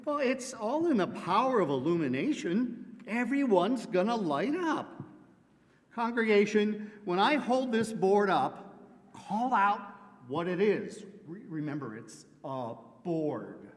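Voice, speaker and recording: A man's voice: high voice, takes it slowly. A man doing a playful, pun-filled announcement skit in front of a congregation. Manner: punning, playful deadpan. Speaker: congregation member presenting an announcement. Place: large church sanctuary. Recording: long hall reverb.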